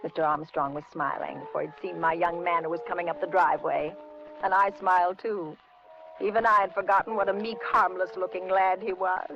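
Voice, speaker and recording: A woman's voice: lowish voice, fairly even intonation, fairly quick, over music, natural voice. An actress playing a kindly, fussing older landlady in a 1950s radio suspense drama. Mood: reflective narrating. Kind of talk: 1950s radio suspense acting and first-person narration. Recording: dull, noisy 1950s radio recording.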